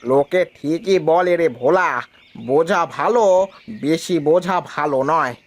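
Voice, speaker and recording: Cartoon village farmer, boastful rival type, high-pitched male performer. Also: unhurried, slight background noise, natural voice. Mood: taunting. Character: boastful village farmer Ganesh, competitive and taunting. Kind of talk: Bengali animated moral tale dialogue.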